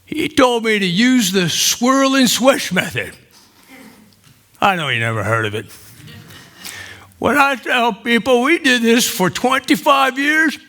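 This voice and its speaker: A man performs a cheeky, tender old widower in his nineties on stage. The voice is high and swoops around freely. He takes his time, pausing for laughs like a practised storyteller. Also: sharp tone, full character voice. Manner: unhurried storytelling with pauses for laughs. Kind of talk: theatrical comic monologue on stage.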